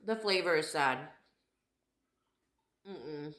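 A chatty woman in her thirties talks to a handheld camera in her kitchen, with a voice a little raspy from a cold. Her tone is bright and forward, and the room gives it a noticeable echo. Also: low voice, lively intonation, slow. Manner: chatty, candid, laughs often. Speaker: vlogger. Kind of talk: casual vlog chat. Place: home kitchen and living room. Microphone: camera held at arm's length. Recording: echoey room.

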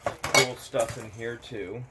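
Excitable man in a noisy outdoor vlog. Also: mid-range voice, slow pace. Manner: excitable, talks to camera. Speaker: storage unit treasure hunter. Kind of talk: casual unboxing vlog. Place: outdoors at a storage unit. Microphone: handheld phone camera. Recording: noisy outdoor phone recording.

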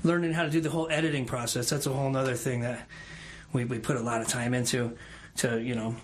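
A man's voice: medium pitch, level tone, quick pace. A man talking casually as a musician guest on a radio show, joking and rambling along. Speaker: musician and video-maker guest.

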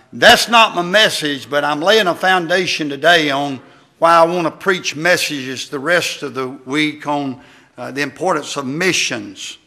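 A man preaches a sermon in a folksy, halting way. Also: a little high, vocal fry, bright, forceful tone. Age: 60s. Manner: folksy, halting delivery with amens. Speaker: visiting preacher, former pastor. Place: church sanctuary at a wooden pulpit.

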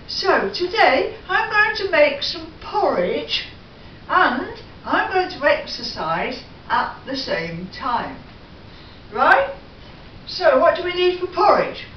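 High-voiced older woman in an echoey kitchen. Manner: chatty, talks herself through each step, hums along. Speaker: home vlogger. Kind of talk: casual home demonstration. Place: home kitchen, indoors. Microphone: far camera on a tripod. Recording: echoey kitchen from far off, dull and noisy.

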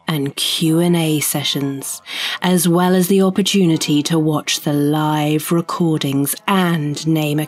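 Low, husky woman announcer over music. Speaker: podcast announcer. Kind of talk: scripted promotional announcement. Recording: music bed behind the voice.

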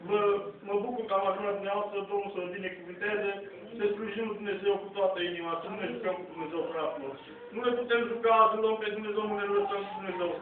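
High-voiced man preaching, very echoey. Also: steady tone, recorded from a distance, a crowd in the background. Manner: earnest, exhorting, repetitive. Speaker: lay preacher. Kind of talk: fervent extemporaneous sermon. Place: small indoor church hall with congregation. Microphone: distant handheld camera in the audience. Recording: tinny, noisy, echoey phone recording from afar.

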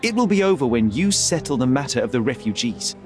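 An actor playing a young detective in a video game, measured, dry and probing. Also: male voice, mid-range voice, sing-song, darkish tone, faint music behind, close to an everyday voice. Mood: stern. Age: young adult. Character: cool, wry young male detective. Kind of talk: video game cutscene acting.

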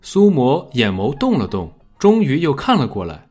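A man's voice: mid-range voice, huge pitch swings, moderate pace, darkish tone, husky. A man narrating a novel as a scripted, dramatic audiobook. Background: background music.

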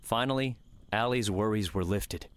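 A man's voice: lowish voice, moderate pace, smooth. A man reading scripted fiction as the narrator of an audiobook.

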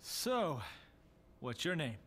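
An American actor playing a cocky, laid-back, wisecracking demon hunter in a video game cutscene. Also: male voice, a little high, big pitch swings, slow, fairly bright tone, slight background noise, heavily acted. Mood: wry, challenging.

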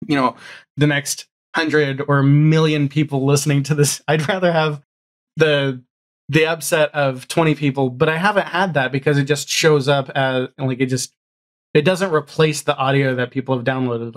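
A man with a mid-pitched voice chats informally as a guest on a podcast video call. His delivery is halting, often doubling back on words while he explains how he works. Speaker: podcast guest, audio drama showrunner. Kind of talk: casual chat. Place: home room, video call.

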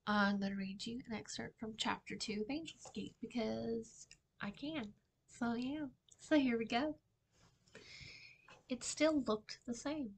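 A middle-aged woman, an author reading her own fiction aloud in a measured, dramatic storytime delivery. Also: on the high side, creaky, a bit bright, slightly rough. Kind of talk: expressive storytime book reading. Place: small indoor room with shelving.

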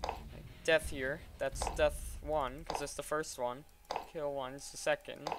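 A man casually talking through a software tutorial step by step in an informal way. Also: fairly high pitch, level tone, unhurried, very noisy. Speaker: tutorial presenter. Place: voice-over at a computer.

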